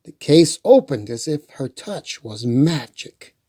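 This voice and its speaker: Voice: medium pitch, big pitch swings, takes it slowly, warm tone. A storyteller reading a children's picture book aloud in a playful way, with chatty asides. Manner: playful, acts out dialogue.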